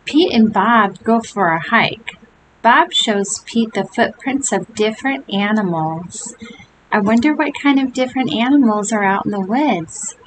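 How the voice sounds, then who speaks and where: An older woman reading a picture book aloud to young children, with gentle chatty asides. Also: a little high, a little slow. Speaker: storybook reader. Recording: home recording with steady hiss.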